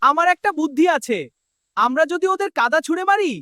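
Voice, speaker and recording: Man voicing a high, bright little carrot hero. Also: expressive, brisk, exaggerated voice. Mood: rallying. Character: small plucky boastful cartoon carrot hero. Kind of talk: children's cartoon dialogue. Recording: music behind the voice.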